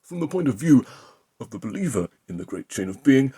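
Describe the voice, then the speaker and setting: A man reading an opinion essay aloud as an impression of a pundit, with a pompous, deliberate delivery and emphatic pauses. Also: medium pitch, big pitch swings, mellow, breathy edge. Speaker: amateur reader of a political article.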